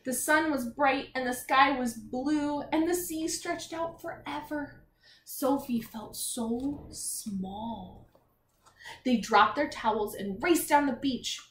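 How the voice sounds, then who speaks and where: A woman's voice: sits high, slow pace. A woman teacher reading a children's picture book aloud, expressively, with encouraging asides and questions to young listeners. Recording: echoey room with faint noise.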